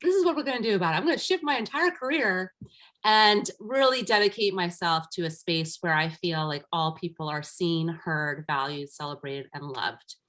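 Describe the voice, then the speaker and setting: A woman's voice: on the low side, normal speed, fairly bright tone. A middle-aged woman, a guest coach whose first language is Armenian, telling her career story in an earnest, reflective way in a casual interview. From United States, raised by immigrant parents.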